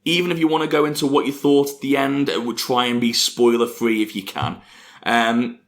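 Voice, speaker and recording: Male podcast co-host, mid-pitched voice, casual and chatty, stumbles and repeats words. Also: fairly even intonation, a little fast. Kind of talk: casual chat.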